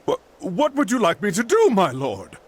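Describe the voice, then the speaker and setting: A man's voice: high-pitched, huge pitch swings, moderate pace, a little hiss or hum. An actor playing a timid, subservient older henchman in a video game, stammering and hesitant. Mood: flustered, pleading. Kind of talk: video game cutscene voice acting. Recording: loud music over the voice.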